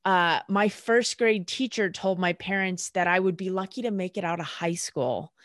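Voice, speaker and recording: A woman's voice: fairly low pitch, normal speed, fairly bright tone, dry recording, studio quality. Blunt, emphatic woman guest. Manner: blunt and emphatic, swears freely. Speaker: podcast guest. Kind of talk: candid casual conversation.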